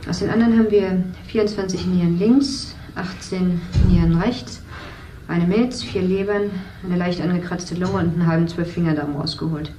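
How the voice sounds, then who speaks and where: A woman's voice: on the low side, normal speed, echoey room, background noise, close to an everyday voice. A woman playing a cold, matter-of-fact worker. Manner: flat, bitter, listing figures. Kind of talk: radio drama acting.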